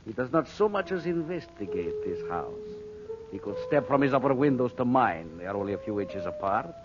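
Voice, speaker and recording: An actor playing a menacing, domineering villain with an imperious, formal delivery in a 1940s radio serial. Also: male voice, medium pitch, sing-song, a little fast, natural voice. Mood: cold, menacing. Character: menacing, domineering male villain, cruel and boastful. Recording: muffled old radio recording, music behind.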